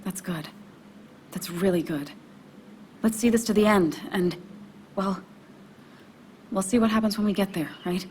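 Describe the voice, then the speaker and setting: An actress playing an emotionally raw but determined young woman in a video game, speaking in a halting, confessional way. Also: mid-range voice, a little mellow, a little hiss or hum, close to an everyday voice. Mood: resolute, subdued. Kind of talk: naturalistic video game acting.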